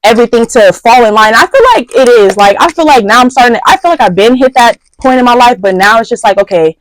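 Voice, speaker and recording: A woman in her twenties with an American accent chatting casually as an interview guest, candid, expressive and full of slang. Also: fairly high pitch, fast, low-quality recording. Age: 20s. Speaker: podcast guest, entrepreneur. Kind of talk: casual chat.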